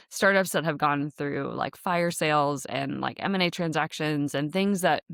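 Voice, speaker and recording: A woman's voice: sits low, a bit bright, no room sound, studio quality. A woman whose first language is Dutch, raised in the United States, hosting a podcast in a chatty, rambling solo talk full of fillers and light laughs. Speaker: podcast host and startup founder. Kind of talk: casual solo podcast monologue. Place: podcast studio in an office space.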